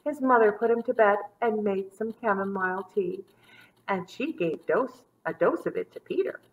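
A woman in her thirties reading a children's story aloud with dramatic emphasis and drawn-out words. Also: medium pitch, expressive, phone-quality sound. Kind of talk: expressive storybook read-aloud for young children. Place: small indoor room set up as a classroom.

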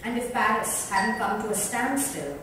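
A woman's voice: on the high side, animated, normal speed. A woman giving a dramatic scripted reading of a book, seated in a lounge. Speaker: reader. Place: club lounge, seated in a row. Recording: distant echoey room, faint noise.

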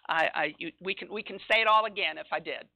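A woman chairing a school board meeting in a meeting room, chatty and quick to apologize. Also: fairly low pitch, gravelly, pushed and bright, no room sound, poor audio. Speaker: board president.

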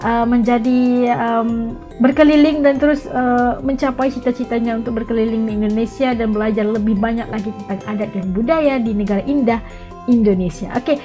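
Woman, Malaysian accent, fairly high voice, reaction host, casual commentary, background music. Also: steady tone, moderate pace, dark tone. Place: small home studio room.

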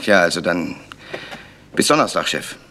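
A man's voice: sits low, very animated, normal speed. An actor playing a curt, grumbling village tradesman and father in a German radio crime drama. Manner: gruff, curt. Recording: noisy recording with clicks.

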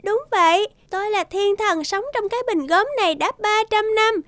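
A woman voicing a tiny cheerful girl fairy in an animated cartoon, childlike, exuberant and a little wistful. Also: very high-pitched, moderate pace, no echo, studio quality. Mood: friendly, earnest.

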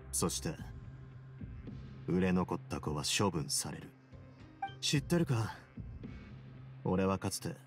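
Low, brooding fortress warden. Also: male voice. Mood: grim, bitter. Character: cool, brooding young male fortress warden with dark past. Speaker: game character. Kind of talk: dramatic game dialogue.

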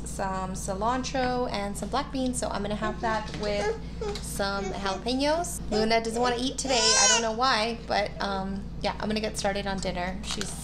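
A woman's voice: medium pitch, noisy background. Chatty mom vlogging on her phone. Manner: chatty, rambling with fillers and laughs. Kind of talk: casual daily vlog chat. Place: home and car, indoors. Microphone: phone camera at arm's length.

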